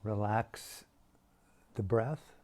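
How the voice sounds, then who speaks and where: A man with a low, mellow voice teaches a guided meditation. He speaks very slowly and deliberately in short phrases, leaving long pauses. His delivery is hushed.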